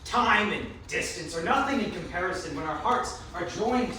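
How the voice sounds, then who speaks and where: A man's voice: sits high, animated, normal speed, bright, forceful tone. A man playing an earnest, lovesick young sailor in a student readers theatre piece. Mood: pleading. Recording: echoey room recorded from far off, faint noise.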